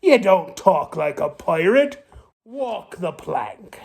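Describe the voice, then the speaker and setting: A man voicing a cranky, blustering pirate captain in a storybook, with slow, salty pirate speech. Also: high voice, big pitch swings, creaky, a little mellow, breathy edge, full character voice. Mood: exasperated.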